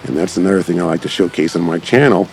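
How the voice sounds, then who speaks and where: Male gaming creator, deep voice, casual enthusiastic rambling commentary, music underneath. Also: quick pace, gravelly. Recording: background music behind the voice.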